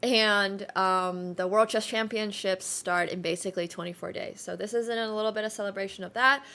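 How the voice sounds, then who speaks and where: A woman's voice: medium pitch, steady tone, brisk, gravelly, pushed and bright. A young woman whose first language is Chinese, chatting casually on a livestream, rambling with lots of fillers and self-corrections. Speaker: streamer.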